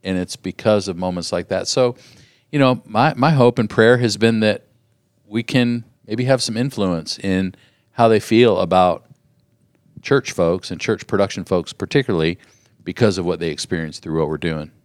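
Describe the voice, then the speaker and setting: A man's voice: lowish voice, moderate pace, no room sound, clean studio sound. A man co-hosting a podcast, talking earnestly and reflectively in a casual conversation. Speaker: podcast co-host.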